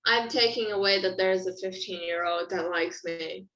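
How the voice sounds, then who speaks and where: A woman's voice: medium pitch, normal speed, a bit bright, roomy sound, low-quality recording. A teenage girl with an American accent, a guest, talking in a hesitant, self-deprecating way. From India, raised in the United States. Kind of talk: casual chat.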